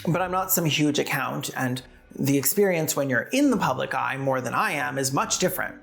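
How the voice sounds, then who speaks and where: A woman's voice: a bass voice, animated, a little fast, vocal fry, a bit bright, faint music behind. A woman narrating scripted video commentary in a sardonic way, with casual asides. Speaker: video essayist and host.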